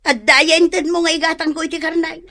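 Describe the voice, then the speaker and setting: An actress playing a sharp-tongued, scornful woman who nags and scolds in a radio drama. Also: extremely high pitch, flat delivery, fairly quick, heavily acted. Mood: exasperated scolding.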